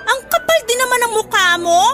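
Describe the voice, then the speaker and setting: A woman's voice: extremely high pitch, big pitch swings, fairly quick, loud music behind the voice. An actress playing a hurt, feisty young woman in a Filipino radio drama, with an indignant, exasperated delivery and a Filipino accent.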